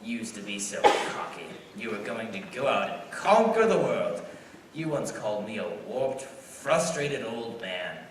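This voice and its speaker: A man's voice: lowish voice, sing-song, unhurried, a little hiss or hum. A man playing a gloating, cold-hearted older banker villain in a live radio play, taunting and sneering. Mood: gloating, scornful. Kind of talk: live 1940s-style radio play acting. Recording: distant echoey theater pickup, loud music.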